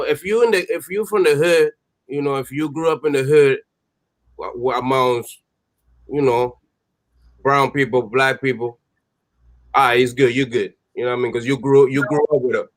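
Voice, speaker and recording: A man's voice: medium pitch, fairly even intonation, moderate pace, long pauses, a bit bright. A man talking in laid-back street slang as a guest in a casual chat. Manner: laid-back slang, "you know what I mean". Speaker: guest, musician.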